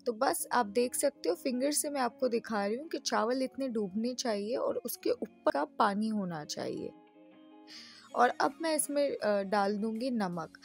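A woman's voice: a little high, a little mellow. A woman from Pakistan with a Pakistani Urdu accent, chatting through a home cooking recipe as host of her channel. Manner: chatty, friendly, repeats tips. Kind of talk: casual step-by-step cooking voice-over.

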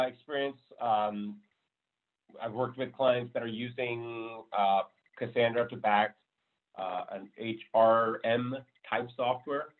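A man giving a casual technical presentation on a video call, loose and rambling, with many fillers. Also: mid-range voice. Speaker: meetup presenter. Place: home room on a video call. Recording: thin phone-like call audio.

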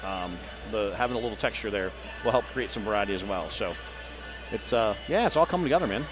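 A man's voice: lowish voice, expressive, fast talker, over music. A man in casual booth chat, talking in a rambling, upbeat way with many fillers, on a headset mic. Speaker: guest company rep. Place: busy trade show hall.

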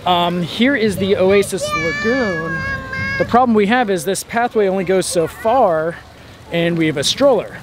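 High-voiced man vlogging casually. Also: sing-song, a little slow, noisy background. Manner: informal, rambling. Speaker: travel vlogger. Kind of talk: casual vlog chat. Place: outdoors at a beach and island park. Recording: outdoor vlog with background music.

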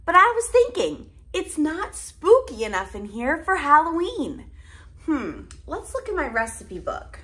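Animated, slow-paced woman children's presenter. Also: sits high. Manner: exaggerated, simple, child-directed delivery. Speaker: children's educational presenter and storyteller. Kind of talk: toddler lesson and picture-book read-aloud.

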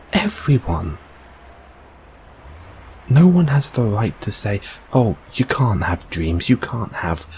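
A man playing a gentle, reassuring boyfriend in an intimate comfort audio roleplay, speaking softly and hesitantly. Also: medium pitch, a little hiss or hum. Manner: soft, hesitant, soothing, unhurried.

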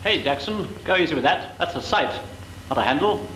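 A dry, practical gunnery instructor corrects trainees in a matter-of-fact tone. His voice sits a little high, with roomy echo and steady hiss from the old soundtrack. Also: a little fast. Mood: instructive. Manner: matter-of-fact, mildly reproving. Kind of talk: 1940s training film acting. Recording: echoey, hissy 1940s film soundtrack.

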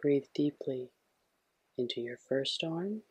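A man with a husky, breathy voice gently guiding a sleep meditation in a soothing, hushed way. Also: mid-range voice, expressive, slow pace, no room sound. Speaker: meditation guide.

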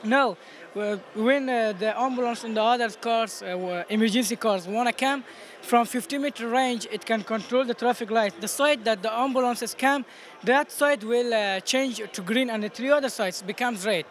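Teen afghan guy, really high voice, halting english, presenting at a busy expo w some background noise. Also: a bit bright. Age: teens. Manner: halting non-native English. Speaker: student competition participant. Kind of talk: explaining a project in an interview. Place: busy exhibition booth indoors. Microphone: handheld interviewer microphone. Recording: noisy event hall background.

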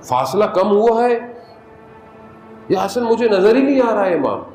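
A man giving a majlis sermon as a Shia cleric, moving from conversational preaching into impassioned, high-pitched appeals. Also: very animated, a little slow, darkish tone. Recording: echoey hall with music underneath.

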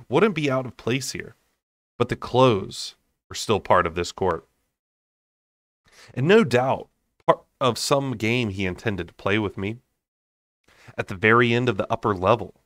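Low-voiced young man reading a novel aloud. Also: a bit bright, dry recording, studio quality. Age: young adult. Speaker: amateur book narrator. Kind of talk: unedited expressive audiobook reading of a fantasy novel.

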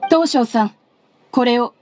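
Woman as earnest high-voiced young official. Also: unhurried, loud music behind the voice, close to an everyday voice. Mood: stern. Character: earnest, respectful young female civil servant. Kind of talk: Japanese anime-style game voice acting.

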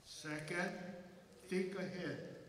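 An older man with a Hawaii accent, reading a formal commencement address as school president from a lectern, in measured phrases. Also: high-pitched, steady tone, a bit bright, far from the mic, a little hiss or hum. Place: large concert hall. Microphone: lectern mic. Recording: echoey hall, voice from afar.

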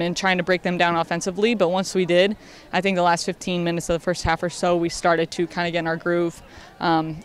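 A young woman midfielder speaking candidly in a post-game interview, outdoors at a stadium at night into a handheld mic. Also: lowish voice. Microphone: handheld mic held at chin.

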